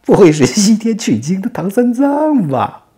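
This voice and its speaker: A man's voice: mid-range voice, very animated, brisk, darkish tone, soft background music, pitched above the performer's own voice. A man voicing a brash, mocking ox-demon king in a storytelling, with sarcastic, slangy taunts.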